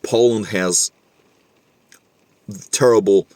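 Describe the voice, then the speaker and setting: A man's voice: on the low side, slow pace, dark tone, no echo. A young man with a slightly Scandinavian-tinged English accent, chatting casually to camera, repeating himself and calling the listener bro. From Norway. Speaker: vlogger.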